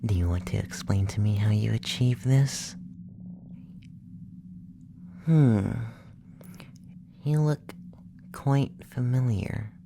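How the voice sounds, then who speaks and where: A man playing a curious, condescending alien abductor in an ASMR roleplay, murmuring softly and half-whispering close to the microphone. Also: fairly low pitch, gravelly, slight background noise. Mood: curious, teasing. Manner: slow, hushed, half-whispered murmuring with musing pauses. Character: soft-spoken alien abductor, curious, condescending, flustered young male.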